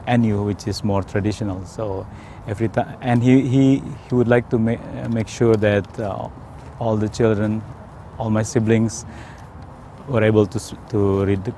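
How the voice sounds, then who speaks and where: A man from Indonesia with an Indonesian accent, telling his personal story in a hesitant, careful way with frequent pauses. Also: on the low side, creaky, dark tone, a little hiss or hum. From Indonesia, South Sumatra. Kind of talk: unscripted personal testimony.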